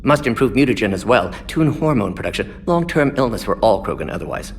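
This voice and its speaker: Brisk, clipped aging alien scientist. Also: male voice, on the low side, expressive, a little hiss or hum. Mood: firm, clinical. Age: older. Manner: clipped telegraphic phrasing, clinical and matter-of-fact. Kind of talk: video game character dialogue.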